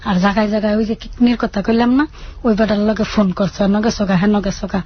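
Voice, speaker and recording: A woman's voice: medium pitch, fairly even intonation, a little fast, slight background noise. A woman with a rural Bangladeshi dialect telling her life story in a plaintive, colloquial way. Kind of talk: emotional personal storytelling. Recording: dull lo-fi radio audio.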